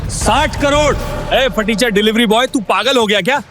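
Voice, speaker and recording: Arrogant sneering rich man, high-pitched. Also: moderate pace, faint music behind. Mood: angry contempt. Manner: contemptuous, loud, taunting. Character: arrogant, sneering rich men, young adult to middle-aged. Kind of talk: Hindi audio drama acting.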